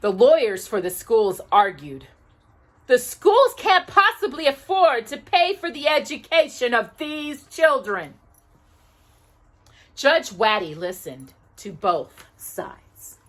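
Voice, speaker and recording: Female storytime reader, higher-pitched and unhurried, very expressive, leaning hard on key words. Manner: emphatic, dramatic pauses and stresses on key words. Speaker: storytime host reading a children's book aloud. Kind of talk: animated children's read-aloud with asides to a listener.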